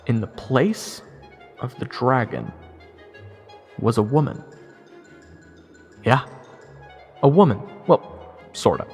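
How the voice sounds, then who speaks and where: A man's voice: medium pitch, big pitch swings, takes it slowly, long pauses, darkish tone, faint music behind. A man playing a wry, sarcastic adventurer who narrates his own fantasy tale in a conversational way. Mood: wry, amazed. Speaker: narrator and protagonist. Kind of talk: first-person dramatic narration.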